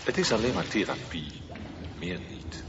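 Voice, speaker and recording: Deep-voiced, placating middle-aged father. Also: lively intonation, normal speed, lo-fi sound, music in the background. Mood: reassuring. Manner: reasoning, reassuring. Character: mild, placating, protective father of a teenage boy. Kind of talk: Dutch radio drama acting.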